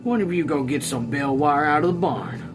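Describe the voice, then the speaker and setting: A man voices a hungry, plain-spoken fellow from a novel in a slightly high voice. He talks bluntly in folksy country phrasing. Music plays clearly behind him. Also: a little fast, natural voice. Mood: eager. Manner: folksy rural dialect wording. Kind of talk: audiobook-style character reading.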